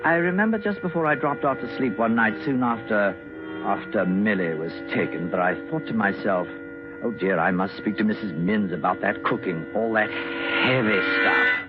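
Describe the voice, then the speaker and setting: A man's voice: lowish voice, normal speed, natural voice. A man acting a fussy, secretive, murderous British doctor in an old-time radio drama, hesitant and muttering. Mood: troubled, confiding. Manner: hesitant, muttering, falsely genial. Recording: dull old radio recording with music.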